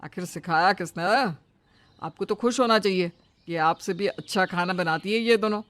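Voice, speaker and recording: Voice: mid-range voice, normal speed, fairly bright tone, no echo, close to an everyday voice. A performer voicing a cheerful, admiring younger brother in a cartoon moral story, praising in an easygoing way.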